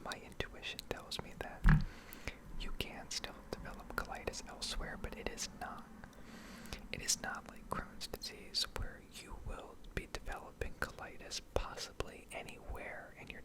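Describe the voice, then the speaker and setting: A woman speaking in a soft, breathy whisper close to the microphone, rambling and explaining in a hesitant ASMR style. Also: normal speed, low-quality recording. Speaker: ASMR video creator.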